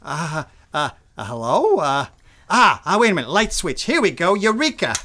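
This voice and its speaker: Old-time radio role: actor as jittery, polite young male newcomer, lightish voice, hesitant, animated pitch swings. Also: normal speed.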